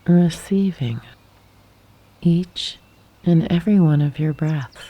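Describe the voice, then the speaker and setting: A woman guiding a yoga nidra meditation in a soft, breathy half-whisper, gently and calmly, with long pauses. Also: low-pitched. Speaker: meditation guide. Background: birdsong and nature sounds.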